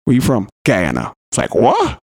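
Man, Trinidadian accent, middling pitch, animated slangy banter, swearing, very dry recording. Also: moderate pace, gravelly, no echo. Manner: animated, coarse, swearing storyteller. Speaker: podcast co-host.

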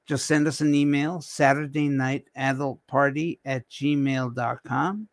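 A man hosting a casual adult podcast chat, talking slowly and haltingly, often repeating words. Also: medium pitch, fairly even intonation, no echo, clean studio sound. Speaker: host.

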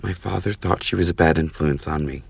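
Deep, restrained young nobleman. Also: brisk, creaky, a little hiss or hum. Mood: guarded. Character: conflicted young nobleman, grave and secretly defiant. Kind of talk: audio drama acting.

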